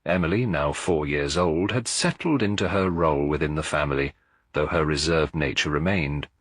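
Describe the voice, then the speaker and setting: A man reading a cozy mystery story as a scripted audiobook, in a measured, literary storytelling manner. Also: very deep voice, a bit bright, husky, no echo. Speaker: narrator.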